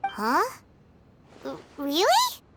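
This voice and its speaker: A woman voicing a tiny, childlike fairy companion in a video game, cheeky and blunt, with a squeaky voice. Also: huge pitch swings, drawn-out words, background noise, exaggerated voice. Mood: surprised. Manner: blunt, exclamatory, refers to self in third person. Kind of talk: animated game voice acting. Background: music.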